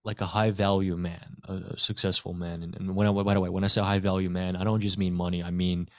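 A man's voice: low voice, little pitch movement, quick pace, no room sound, studio quality. A man hosting a podcast interview, keen and chatty, often restarting his sentences and filling them with "you know". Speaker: podcast host.

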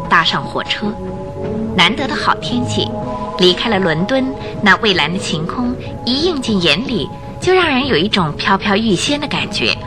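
A woman narrating a radio drama as a scripted, dramatic storyteller. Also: medium pitch. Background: music.

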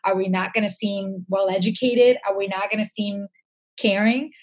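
Woman, interview guest, slightly husky voice, mid pitch, earnest, reflective, conversational. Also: steady tone, normal speed, highs cut off.

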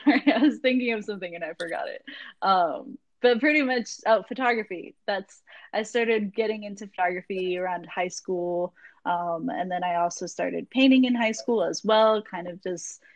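A woman's voice: mid-range voice, normal speed, dry recording, dull audio. Chatty young woman, dry sound. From Jacksonville, Florida. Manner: rambling, many ums and likes. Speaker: magazine founder, guest. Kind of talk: casual interview chat.

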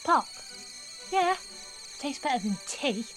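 An actress playing a blunt, cheeky, streetwise nineteen-year-old woman who talks in clipped slang with a slightly husky voice in an audio drama. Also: sits high, big pitch swings, takes it slowly, over music, full character voice, rough recording. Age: young adult. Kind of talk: naturalistic audio drama dialogue.

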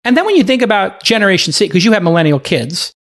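A man's voice: sits high, big pitch swings, fast talker, fairly bright tone. A man in his late forties hosting a podcast, chatty and enthusiastic in casual interview talk. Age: 40s. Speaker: podcast host.

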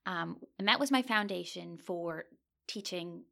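A woman's voice: fairly low pitch, unhurried, vocal fry, sharp tone. A young woman speaking as a graduate student guest, giving thoughtful answers with frequent fillers and restarts. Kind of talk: conversational interview answers.

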